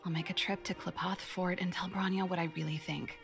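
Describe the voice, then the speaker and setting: An actress voicing a free-spirited adult woman engineer in a game, talking briskly and frankly with a gravelly edge. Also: lowish voice, flat delivery, over music, natural voice. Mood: impatient. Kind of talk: game voice acting.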